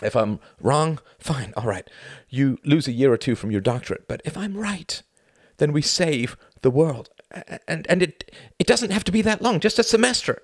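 Man doing a young male scholar, agitated, high-pitched, loud, swearing, dry close sound. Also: very animated, moderate pace, no room sound. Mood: pleading. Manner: shouting, passionate, profane.

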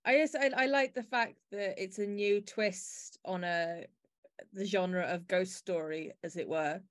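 A woman's voice: medium pitch, measured pace. A woman hosting a podcast, chatting casually and reflectively about an audio play. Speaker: podcast host.

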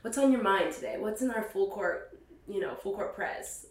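A young woman from California with a naturally raspy voice, nasal from a cold, co-hosting a casual podcast chat. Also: medium pitch, normal speed, reverberant, far from the mic. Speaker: podcast co-host.

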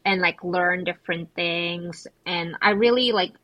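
A woman's voice: on the low side, measured pace, no room sound, lo-fi sound. A young woman from Indonesia, a podcast guest, talking casually and filling her speech with "like". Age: young adult. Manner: chatty, heavy use of "like" fillers. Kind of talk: casual conversational interview.

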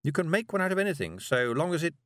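Fussy nervous witch woman done by a man, raised pitch, prim and clipped, very lively inflection, dry close recording. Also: quick pace, no room sound. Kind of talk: audiobook character voice.

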